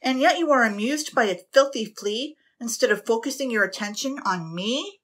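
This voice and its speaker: A woman's voice: medium pitch, lively intonation, takes it slowly, sharp tone, full character voice. A woman voicing a jealous, pompous male ship captain who blusters indignantly.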